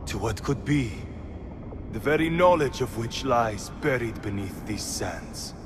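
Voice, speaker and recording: An actor playing an arrogant, fanatical villain in a video game, with a haughty and theatrical delivery. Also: male voice, medium pitch, animated, measured pace, noisy background, natural voice. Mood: fervent, menacing. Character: arrogant male zealot villain, cruel and fanatical. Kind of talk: dramatic video game acting.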